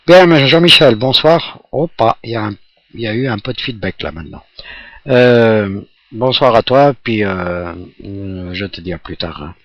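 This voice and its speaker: Low-voiced man in a roomy, muffled recording. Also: animated, moderate pace, a bit bright. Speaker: amateur radio operator. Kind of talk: casual radio contact chat. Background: electric fan blowing nearby.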